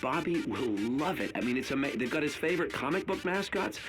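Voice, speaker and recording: A man's voice: a little high, expressive, fairly quick, a bit bright, soft background music. An actor playing a coaxing husband and father in a video game, hesitant and rambling. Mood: sheepish, coaxing. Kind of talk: naturalistic video game voice acting.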